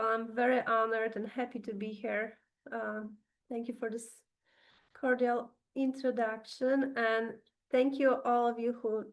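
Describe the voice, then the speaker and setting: A woman with a Polish accent, whose first language is Polish, giving an online webinar presentation over a video call, pausing often with ums and uhs. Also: a little high. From Poland. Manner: hesitant, many ums and uhs.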